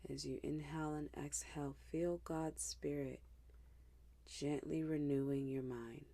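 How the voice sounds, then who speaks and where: Woman, meditation guide, very slow pace, creaky low edge, hushed, gentle, soothing scripture-based narration. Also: steady tone. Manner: hushed, gentle, unhurried, with pauses.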